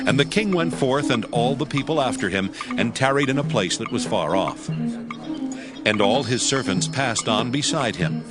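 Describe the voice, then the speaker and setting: A man narrating scripture from a script in a solemn, formal storytelling manner. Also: mid-range voice. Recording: loud music under the voice.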